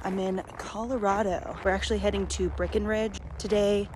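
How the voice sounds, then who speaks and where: A woman's voice: medium pitch, normal speed, noisy background. Cheerful young woman vlogging her trip. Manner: cheerful, chatty, excitable. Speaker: travel vlogger. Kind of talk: casual travel vlog narration. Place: outdoors in snow, in a car, hotel room. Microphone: phone held at arm's length. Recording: music playing under the voice. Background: background music.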